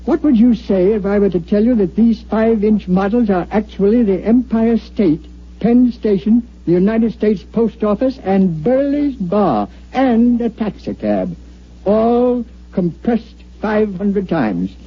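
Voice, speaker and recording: An actor playing a brash, commanding newspaper editor who talks in punchy orders, in 1950s radio drama style. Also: male voice, high-pitched, lively intonation, measured pace, breathy edge, heavily acted. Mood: brisk, triumphant. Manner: bossy, punchy, wisecracking. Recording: muffled old-time radio recording.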